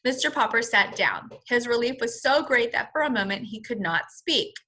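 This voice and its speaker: A woman reading a children's story aloud as a teacher, in an expressive, explanatory manner. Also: fairly high pitch, moderate pace. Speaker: first-grade teacher.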